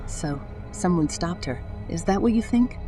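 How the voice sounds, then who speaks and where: A woman's voice: lowish voice, expressive, a little slow. A woman playing a determined, persistent female reporter in a sci-fi audio drama. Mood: probing, polite. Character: determined, fearless young female reporter on Mars. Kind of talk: audio drama acting and narration. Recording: voice over loud music.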